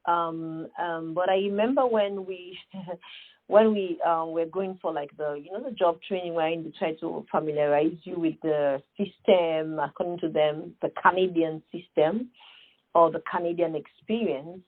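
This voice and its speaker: A middle-aged woman with a Sierra Leonean accent speaks as an interview guest, emphatic and earnest. Her voice sits low and breathy. The sound is thin and phone-like. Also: measured pace. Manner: earnest, emphatic, many fillers and rhetorical questions. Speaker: guest, nonprofit founder. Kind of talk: conversational interview answer.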